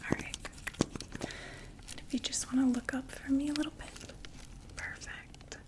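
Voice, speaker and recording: A woman's voice: high-pitched, fairly even intonation, unhurried. A woman whispering as a chatty vampire saleswoman. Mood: soothing. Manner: soft whispering, chatty, gently reassuring. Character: chatty undead female vampire makeup saleswoman. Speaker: ASMR performer. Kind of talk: ASMR roleplay.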